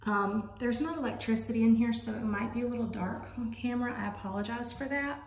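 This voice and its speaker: A woman's voice: fairly high pitch, fairly quick. A woman giving a chatty, rambling walkthrough of an empty model home, filming on a handheld phone. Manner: chatty, rambling, many fillers. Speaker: vlogger touring a mobile home. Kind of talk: casual home tour walkthrough. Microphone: handheld phone camera.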